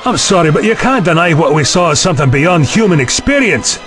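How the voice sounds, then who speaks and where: A man's voice: fairly high pitch, very animated, brisk, music in the background. An actor playing a tactless, sceptical male university chancellor in a science fiction audio drama, blunt and questioning in manner. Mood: defensive.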